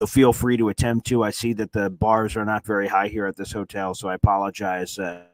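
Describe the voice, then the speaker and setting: A hoarse man, his voice worn thin from screaming, hosts a live reaction stream. He rambles excitedly with lots of "uh"s, in a lowish voice. The sound is dry and close, with no room echo. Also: brisk. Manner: excited, rambling, with frequent "uh" fillers and restarts. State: hoarse, voice nearly gone from screaming. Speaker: sports reporter and livestream host. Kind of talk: live sports reaction livestream. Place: hotel room. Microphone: streaming setup on weak hotel wifi.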